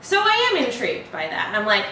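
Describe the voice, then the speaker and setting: A woman's voice: medium pitch, huge pitch swings, a little fast, bright, forceful tone. Woman chatting casually, roomy distant recording. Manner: informal and chatty, laughs often. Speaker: host of a horror trailer reaction show. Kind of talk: casual film review chat. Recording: very roomy, distant mic, faint noise.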